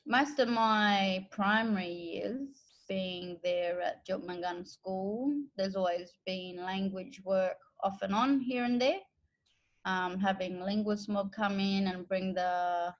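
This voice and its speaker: An Australian woman from the Northern Territory, an educator and interpreter, telling her own story in a relaxed, thoughtful way with pauses. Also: on the low side, highs cut off. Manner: unhurried, thoughtful, with pauses and fillers. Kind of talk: relaxed personal account.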